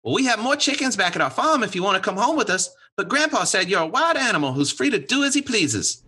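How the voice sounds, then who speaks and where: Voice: mid-range voice, sing-song, fast, sharp tone, husky, full character voice. An actor playing an eager, earnest, folksy country boy in a radio play. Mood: tender, excited. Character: eager, tender country boy, devoted to his pet.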